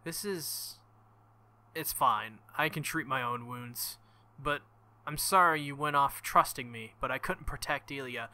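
A man voicing a wounded, sharp-tongued teenage girl mage from a visual novel, read flatly near his own voice. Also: mid-range voice, unhurried.

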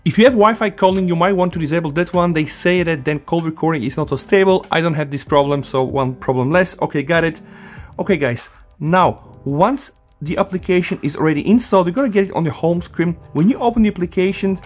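A man's voice: on the high side, fairly quick, soft background music. A man hosting a casual hands-on phone tutorial, talking directly and informally to his viewers. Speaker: tech tutorial host.

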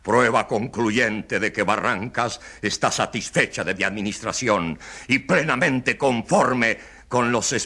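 An actor playing a pompous, scornful male politician and press magnate in a radio courtroom drama, with a husky voice and theatrical, mocking delivery. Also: medium pitch, unhurried, bright, forceful tone, full character voice. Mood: pompous, assured.

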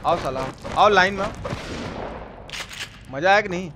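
High-pitched Bihari man streaming over game noise. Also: measured pace. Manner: colloquial, repetitive, exclaiming, mock-abusive. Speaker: live-streamer playing a horror game. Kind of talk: excited gameplay commentary. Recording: noisy stream with game sounds behind.

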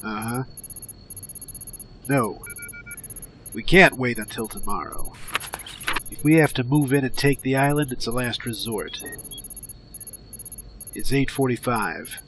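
Blunt, slow-talking police commander, noisy track. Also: male voice, mid-range voice, a bit bright. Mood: tense, grim. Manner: barking orders, blunt, profane. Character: gruff, commanding male police commissioner, ex-special-forces veteran. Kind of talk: audio drama acting. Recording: clearly noisy audio drama track.

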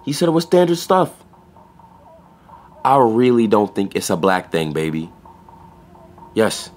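Low-voiced, streetwise young store clerk. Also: male voice, very animated, a little slow. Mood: uneasy, reassuring. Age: young adult. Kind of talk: naturalistic audio drama acting.

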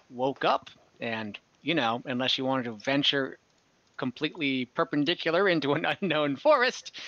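A young man as game master, recapping and narrating a tabletop game over a video call in a relaxed, rambling way with lots of fillers. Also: a little high, no echo. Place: home room on a video call. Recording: dull, slightly lo-fi video call audio.

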